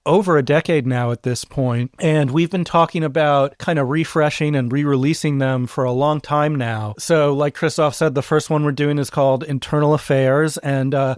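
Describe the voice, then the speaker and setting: A man, a podcast co-creator, chatting in a relaxed, reflective way with frequent fillers as he introduces an episode. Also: a little high, moderate pace, hardly any pauses, dry recording, clean studio sound. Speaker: podcast co-creator and sound designer. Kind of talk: casual podcast intro chat.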